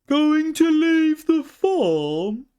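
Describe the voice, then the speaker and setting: A man voicing a gentle little female calf in a storybook reading, soft and pleading. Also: extremely high pitch, sing-song, extremely slow, voice pushed up, full character voice.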